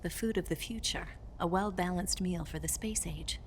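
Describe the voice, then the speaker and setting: A woman acting a sly, low-voiced seductive spy. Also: normal speed, a little hiss or hum, close to an everyday voice. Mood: cool briefing. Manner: teasing, seductive, breathy at times. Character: sly, flirtatious female double-agent spy, cool and teasing. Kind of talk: dramatic video game cutscene acting. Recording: music underneath. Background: cinematic game music.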